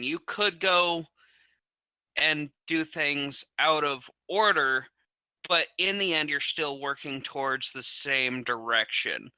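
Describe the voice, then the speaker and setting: A man's voice: on the high side, bright, forceful tone, smooth, dry recording, poor audio. A man thinking aloud somewhat tentatively in a casual podcast discussion as a panelist.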